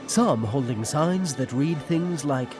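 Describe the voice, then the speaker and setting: A man's voice: fairly high pitch, animated, slow pace, music in the background. A man narrating the action of a scripted audio drama.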